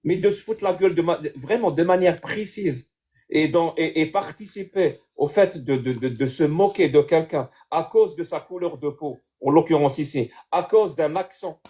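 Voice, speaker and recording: A man's voice: fairly high pitch, normal speed, mellow. A man with a Kabyle accent arguing earnestly and emphatically in a live debate, often stumbling over repeated words. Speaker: debate guest.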